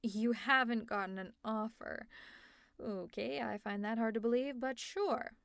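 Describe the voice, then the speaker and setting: A fairly high-voiced, teasing young villainess, played by a woman in an audio roleplay, exasperated and caring toward her worn-out rival. She speaks close to the mic in a dry room with no echo. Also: steady tone, measured pace, gravelly, a bit bright. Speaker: ASMR roleplay creator.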